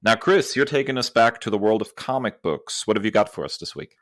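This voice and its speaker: A man's voice: fairly low pitch, animated, a little fast, fairly bright tone, dry recording, clean studio sound. A man co-hosting a podcast, giving emphatic, opinionated and enthusiastic movie commentary. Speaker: podcast co-host. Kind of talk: enthusiastic movie review talk.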